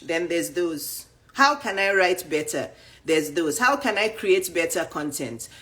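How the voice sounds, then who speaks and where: A woman's voice: lowish voice, lively intonation, measured pace, pushed and bright. Blunt, emphatic woman coach. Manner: blunt, straightforward, emphatic lists. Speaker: dating and life coach. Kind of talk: direct-to-camera coaching talk.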